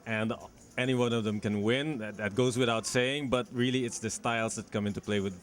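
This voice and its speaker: A man commenting on a poker final table in a measured, deliberate way. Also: medium pitch, steady tone. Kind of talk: broadcast commentary.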